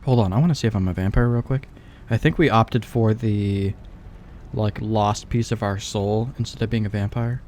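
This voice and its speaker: A man's voice: fairly low pitch, normal speed, a little mellow, a little hiss or hum. A man doing casual let's play commentary, laid-back and slangy, thinking aloud as he goes. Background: faint game ambience.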